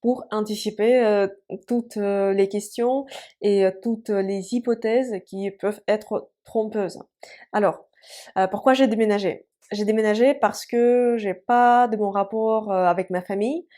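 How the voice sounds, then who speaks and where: A woman's voice: mid-range voice, fairly even intonation, a little slow, dark tone. A woman in her thirties, a native Russian speaker, explaining things in a chatty, hesitant way with many fillers, as an online language teacher. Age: 30s. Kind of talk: casual explanatory online lesson.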